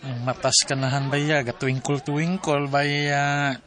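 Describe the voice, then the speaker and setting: Man acting a higher-voiced teasing buddy. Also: level tone, a little slow, close to an everyday voice. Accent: Filipino, Cebuano-speaking. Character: teasing, gossipy male workmate and buddy. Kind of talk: Philippine radio drama acting.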